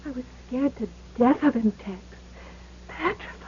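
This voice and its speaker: An actress playing a devoted, emotional gambler's sweetheart in an old-time radio drama, earnest and emphatic. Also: female voice, high voice, expressive, moderate pace, a little mellow, a little hiss or hum. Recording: tinny, narrow-band 1970s radio recording.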